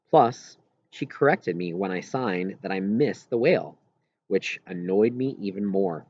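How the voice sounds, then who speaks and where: A man with a husky voice reads a first-person novel aloud expressively, with halting pauses mid-phrase. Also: fairly low pitch, a little mellow, highs cut off. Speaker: audiobook reader.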